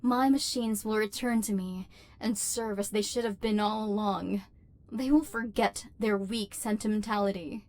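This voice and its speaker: A woman's voice: mid-range voice, steady tone, normal speed, a little mellow, close to an everyday voice. A woman voicing an imperious robot overlord who despises humans, speaking in formal, machine-like phrases in an ASMR roleplay. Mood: haughty, threatening. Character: haughty, human-hating female robot overlord, secretly lonely. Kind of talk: ASMR roleplay, dramatic villain monologue.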